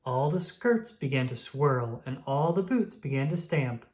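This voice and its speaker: Storyteller, picture-book read-aloud, gentle, unhurried, middle pitch. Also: expressive.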